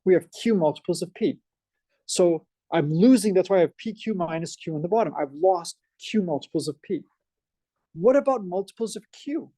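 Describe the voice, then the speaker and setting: A male professor with a Boston accent teaching a math class, energetic and full of questions for his students. His voice is mellow and dark, recorded dry and close with little room sound. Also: high voice, moderate pace, no echo. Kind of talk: classroom lecture. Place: lecture classroom.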